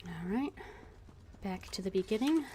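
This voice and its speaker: A woman's voice: mid-range voice, expressive, unhurried, very noisy. Wry woman streaming a game. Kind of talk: casual live-stream commentary.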